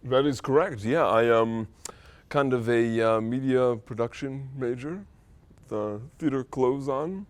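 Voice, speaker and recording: A young man guesting on a college talk show, joking in a dry, lively way. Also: medium pitch, unhurried. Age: young adult. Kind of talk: casual comedic interview. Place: college studio set.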